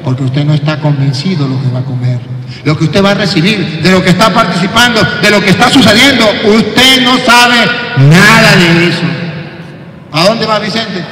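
Animated priest preaching in a church. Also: male voice, high voice, moderate pace. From Venezuela. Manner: animated, acts out callers and quotes, swings from soft to shouting. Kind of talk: lively sermon. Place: large church, indoors. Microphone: handheld mic. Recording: echoey church from a distance.

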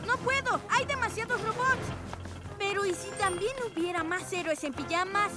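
A performer voicing an eager, brave six-year-old boy superhero in a cartoon, excited and exclamatory. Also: extremely high pitch, normal speed, over loud music. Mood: earnest. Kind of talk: animated children's show dub.

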